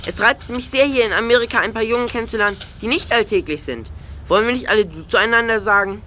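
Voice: medium pitch, quick pace, bright, forceful tone, slight background noise, close to an everyday voice. A performer playing a polite, eager English teenage boy in an audio drama, forthcoming and a little formal. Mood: friendly, curious. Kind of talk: German audio drama acting.

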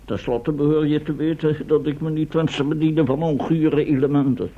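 A man's voice: on the high side, steady tone, brisk, lightly acted. An actor playing a gloomy, fussy captive professor in a Dutch radio play, pompous and wordy in his fretting. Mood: indignant. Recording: muffled, noisy old radio play recording.

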